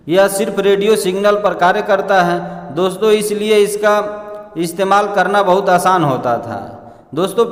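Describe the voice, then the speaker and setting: A man reads a scripted explainer in a halting way, addressing listeners as friends. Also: high voice. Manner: halting read-aloud delivery, often addressing listeners as friends. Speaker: presenter narrating an explainer. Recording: echoey room with long reverb.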